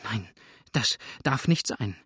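A man's voice: on the low side, expressive, slow, bright, forceful tone, breathy edge, no echo, clean studio sound, exaggerated voice. A man voicing a frightened, prim widow in an audiobook, protesting in breathless, pleading exclamations. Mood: desperate refusal. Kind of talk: audiobook character acting.

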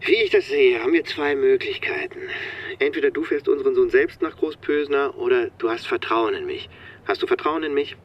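An actor playing a brisk, controlling middle-aged businessman who juggles calls in a naturalistic radio drama, insistent yet placating. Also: medium pitch, lo-fi sound, natural voice.

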